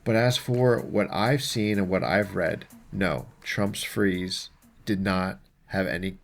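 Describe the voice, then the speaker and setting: A man's voice: deep voice, takes it slowly, gravelly, soft background music. A man hosting an explainer show, giving casual news commentary and reading articles aloud in an informal, conversational way. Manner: informal, conversational, mildly profane.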